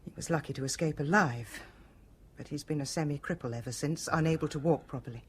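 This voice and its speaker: An actress with a British accent playing a bitter, fatalistic wife in a radio drama, guarded and weary. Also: female voice, deep voice, quick pace, husky. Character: brittle, bitter, fatalistic wife of a cruel husband.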